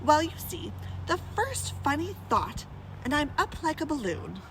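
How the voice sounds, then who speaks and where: Woman reading as whimsical, animated storybook uncle. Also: high-pitched, a little slow, a little hiss or hum, voice pushed up, full character voice, low-quality recording. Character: jolly, helpless bald uncle in a storybook.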